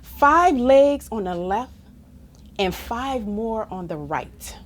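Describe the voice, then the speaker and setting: A woman reading a children's story aloud in an expressive, playful, theatrical manner, as if to young listeners. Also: fairly high pitch, slow. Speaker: story reader.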